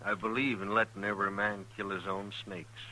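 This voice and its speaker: Low, hard-edged frontier loner. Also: male voice, level tone, brisk, sharp tone, low-quality recording. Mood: fatalistic, stubborn. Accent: American. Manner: terse, folksy, stubborn. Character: gaunt, guarded, fatalistic frontier station keeper, troubled loner. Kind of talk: old-time radio western drama.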